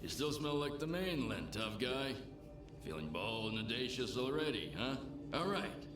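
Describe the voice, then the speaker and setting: A man playing a gruff, animated bartender. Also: medium pitch, normal speed, close to an everyday voice. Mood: shrewd. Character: world-weary, pragmatic male bartender in a lawless zone. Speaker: bartender character. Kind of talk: video game dialogue. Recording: roomy echo with noisy game ambience.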